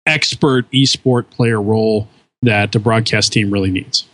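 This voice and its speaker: A man co-hosting a casual gaming podcast, relaxed and halting, often repeating his words. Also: fairly low pitch, no echo. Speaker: podcast co-host.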